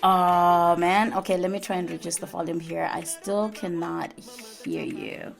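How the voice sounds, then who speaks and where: A woman hosting a casual live radio show, excitable and chatty, repeating herself with plenty of fillers. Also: fairly low pitch, measured pace, creaky, faint music behind. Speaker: radio host. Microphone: live stream studio mic.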